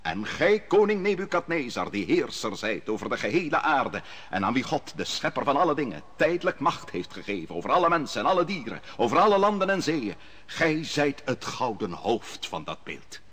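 An actor playing a young prophet in an old radio play, speaking in a husky, solemn, declaiming manner. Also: male voice, medium pitch, very animated, normal speed, a bit bright. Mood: prophetic. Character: young prophet recounting a king's dream, solemn. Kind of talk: 1950s radio drama acting.

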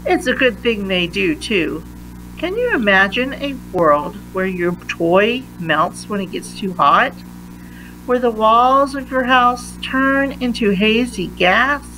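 A woman reading a children's picture book aloud in a deliberate manner, pausing between phrases. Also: on the high side, expressive, bright, forceful tone, a little hiss or hum, rough recording. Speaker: read-aloud reader.

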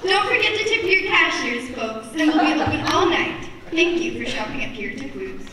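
A woman's voice: high voice, big pitch swings, moderate pace. A woman acting a chirpy supermarket announcer with a sing-song delivery in a stage comedy. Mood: perky. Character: chirpy female supermarket announcer telling corny jokes. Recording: reverberant hall, distant, noisy.

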